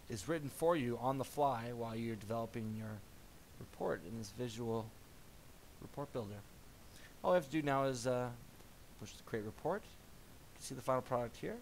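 A man narrating a software product demo as a voice-over, in a measured explanatory tone. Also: medium pitch, slight background noise, poor audio.

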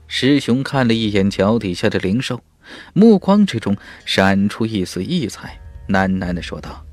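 A man's voice: fairly low pitch, expressive, moderate pace, a little mellow, dry recording, faint music behind. A man narrating a fantasy novel as an audiobook in an emphatic, dramatic storytelling manner.

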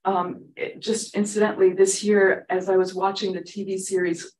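A woman, a conductor whose first language is Ukrainian, giving a measured academic talk on a panel in a seminar room. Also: medium pitch, flat delivery, darkish tone, recorded from a distance, poor audio. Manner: measured, precise lecturing.

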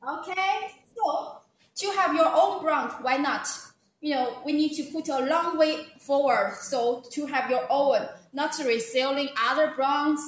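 A woman's voice: sits high, a little slow. A woman with a Chinese accent presenting products on a live sales stream, eager and chatty, saying okay and wow a lot. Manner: eager, repeats "okay" and "wow", non-native phrasing. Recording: very echoey room.

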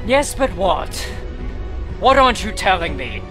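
A man's voice: high-pitched, very animated, measured pace, over music. A man voicing a volatile fairy-tale imp sorcerer in a fantasy drama fandub, intense, demanding and theatrical. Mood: desperate, demanding.

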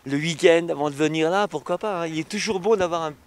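A man in his fifties, a ski instructor, answers interview questions outdoors on a snowy slope into a handheld mic, talking in halting phrases with many filler tags. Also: a little high. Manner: halting, frequent "hein" and "évidemment" tags.

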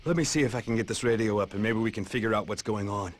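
Man as a husky-voiced American glider pilot. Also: on the low side, fast, natural voice. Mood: relieved, practical. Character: rescued American glider pilot, plain-spoken and weary. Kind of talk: video game cutscene acting.

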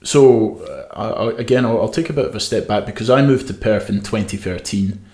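Scottish man with a low, roomy voice. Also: a little fast. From west coast of Scotland. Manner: relaxed storytelling with frequent restarts. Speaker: guest swimmer. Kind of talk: podcast interview. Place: small room with podcast setup. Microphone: desk mic on stand.

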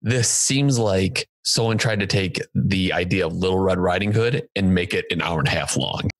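A man's voice: deep voice, normal speed, no room sound. A man in his thirties co-hosting a casual film review discussion, speaking into a close podcast microphone. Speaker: podcast co-host. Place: indoor home room, remote video call. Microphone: close podcast microphone with headphones.

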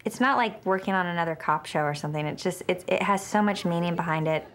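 A woman's voice: fairly low pitch, fast talker, over a noisy crowd. A woman speaking playfully in a studio interview. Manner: laughing, playful.

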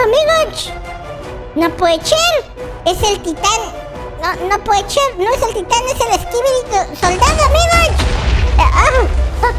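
A host voicing a baby boy character in a very high, childlike voice, giving excitable, exclamatory Minecraft roleplay commentary and repeating words. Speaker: gaming video host. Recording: children's music behind the voice. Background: loud playful children's music.

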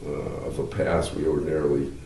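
Older male professor, mellow dark tone, halting with fillers, slightly distant mic, faint hiss. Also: extremely low pitch, level tone, roomy sound. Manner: measured, halting, many fillers and restarts; gestures with hands while talking. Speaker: law professor. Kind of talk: explanatory interview answers. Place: wood-paneled interview room, seated indoors.

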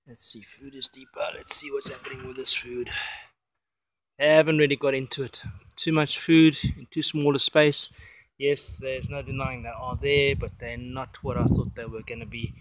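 A man's voice: medium pitch, a bit bright, poor audio. A man, casually showing his worm farm in a sunny garden and chatting enthusiastically to a handheld phone camera. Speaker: worm farmer vlogger. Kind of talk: casual how-to vlog.

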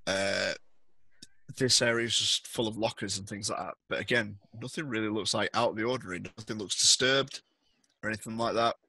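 A man runs a tabletop roleplaying game, describing scenes to players. His voice sits a little low and sounds bright and forward. The sound is dry, with very little room. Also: steady tone, brisk, no echo. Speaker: game master.

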